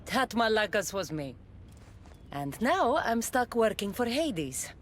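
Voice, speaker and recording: A woman's voice: a little high, big pitch swings, takes it slowly, a little hiss or hum. An actress playing a loyal, wry, battle-hardened warrior woman in a video game, speaking firmly and encouragingly. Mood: firm, caring. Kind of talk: dramatic video game acting.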